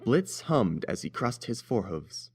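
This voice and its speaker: A man's voice: on the low side, sing-song, unhurried, vocal fry, husky. A man narrating a scripted fan-fiction story as an audiobook reader.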